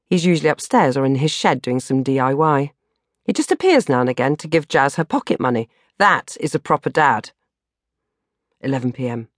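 Woman, low-pitched, comic diary audiobook, dramatic exasperation, very dry close sound. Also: lively intonation, a little fast, no room sound. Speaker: audiobook narrator reading her own novel. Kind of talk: comic first-person diary narration.

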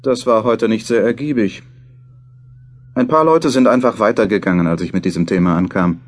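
Male actor as a thoughtful journalist, low husky voice, musing, self-questioning, radio play diary monologue. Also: moderate pace, lightly acted. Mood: reflective, sobered.

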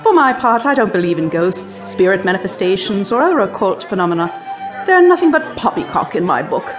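A woman's voice: medium pitch, big pitch swings, brisk, over music, lightly acted. An actress playing a witty, sceptical young upper-class lady in a radio drama, arch and teasing in manner. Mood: dismissive. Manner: arch, mocking, well-spoken phrasing.

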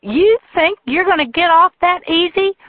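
A shrieking, furious young Southern woman, her voice pitched far up and loud as she hurls accusations. The sound is dry and tinny, like a phone line. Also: expressive, normal speed, no echo. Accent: American Southern. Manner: shrill, screaming accusations.

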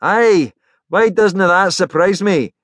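A man voicing a wry, easygoing Scottish researcher, talking loudly and colloquially. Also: sits high, slow pace, no room sound, voice pushed up. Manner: loud, colloquial.

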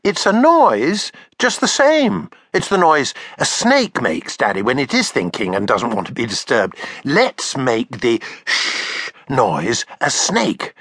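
A chirpy little cave girl, as a man puts her on, bubbling over with excitement in a storybook. Her high voice leaps all over the place, bright and eager. The sound is close and dry. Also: slow, husky, no room sound, exaggerated voice. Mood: excited. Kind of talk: storybook reading.

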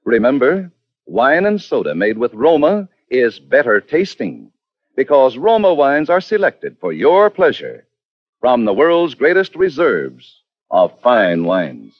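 Swooping American radio pitchman. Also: wildly swooping pitch, slow pace, no echo. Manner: polished, salesmanlike. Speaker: radio announcer. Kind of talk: 1940s radio advertising read. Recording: dry, dull old radio recording.

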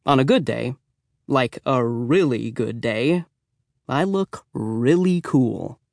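A man's voice: medium pitch, expressive, takes it slowly, vocal fry, darkish tone, no room sound, clean studio sound. A man voicing a wry, self-mocking middle school boy who tells his misadventures in a children's audiobook, chatty and dramatic. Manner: chatty, dramatic, tongue-in-cheek. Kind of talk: children's audiobook first-person narration.

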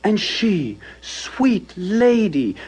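A man's voice: sits high, sing-song, drawn-out words, a little mellow, breathy edge, full character voice. An actor playing an ardent young Athenian nobleman in love, speaking Shakespearean verse earnestly in an audio theater production. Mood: proud, defiant. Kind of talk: full-cast Shakespearean audio theater acting.

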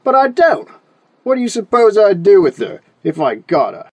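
A woman voicing a grumpy, stubborn male dragon with a growling, rumbling voice. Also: sing-song, moderate pace. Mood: grumbling. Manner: gruff and straight-talking.

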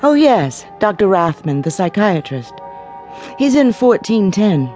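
A sultry, mysterious woman who coaxes and unsettles. Her voice rings in an echoey hall with long reverb. Clear music plays behind this radio drama. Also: mid-range voice, very animated, measured pace, darkish tone. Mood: helpful, sweet. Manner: sultry, coaxing. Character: eerie, seductive, coaxing mysterious woman. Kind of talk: audio drama acting.

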